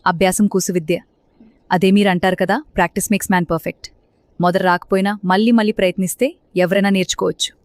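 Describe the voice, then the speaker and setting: A woman's voice: mid-range voice, steady tone, moderate pace, no room sound. A woman narrating scripted children's moral stories, instructive in her delivery. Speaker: storyteller.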